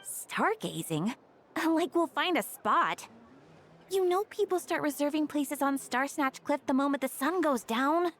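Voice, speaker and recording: Downcast, high-voiced young woman. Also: animated, unhurried, slight background noise. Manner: sighing, hesitant. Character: downcast, withdrawn young woman, gentle and troubled. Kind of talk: video game character acting.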